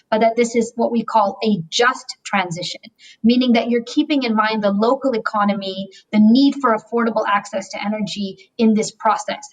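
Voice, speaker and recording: A woman speaking at a middling pitch, calm and explanatory, laying out her points step by step. She talks as a banker in a webinar interview, pausing on fillers as she thinks. Her delivery stays steady and considered. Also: poor audio. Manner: measured, explanatory, frequent "um" fillers. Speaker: banker on sustainability.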